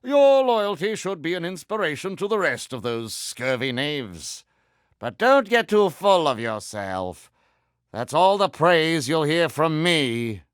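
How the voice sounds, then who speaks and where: Vain pirate villain, British accent, highish swooping pitch, grandiose, mannered, theatrical game voice. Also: male voice, very animated, takes it slowly, smooth, no room sound, studio quality. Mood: stern, condescending. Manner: pompous, grandiose, mannered formal speech. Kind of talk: theatrical video game character voice acting.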